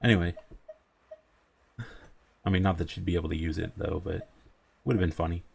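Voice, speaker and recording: A woman's voice: rumbling low, fairly even intonation, a little fast, vocal fry, darkish tone, slight background noise. A woman giving casual game playthrough commentary with dry, offhand remarks. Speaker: game streamer. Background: faint game music.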